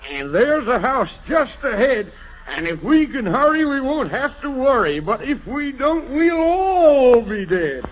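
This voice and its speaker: An eager young companion urges everyone on in rhyme. The voice is very high and lively. It comes through a dull old radio-play recording. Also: male voice, a little slow, slight background noise, full character voice. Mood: urgent. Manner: urgent, rhyming.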